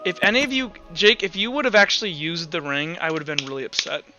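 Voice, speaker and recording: A man working as game master, improvising narration for a tabletop roleplaying game in a halting, repetitive way. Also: a little high, steady tone. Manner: halting, repeats words while improvising. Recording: dull lo-fi audio with music behind. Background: background music.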